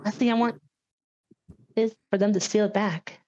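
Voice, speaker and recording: A woman's voice: medium pitch, fairly even intonation, normal speed, darkish tone. A woman chatting casually as a player in a tabletop game, sometimes speaking as her character, on a slightly lo-fi mic.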